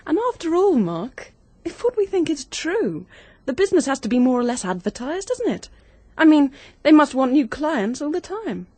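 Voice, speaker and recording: Young amateur detective, high lively voice, assertive, knowing, radio play. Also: female voice, moderate pace, no room sound. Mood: knowing, eager. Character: brisk, resourceful young woman amateur sleuth, confident and bossy. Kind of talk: 1940s-style British radio drama acting.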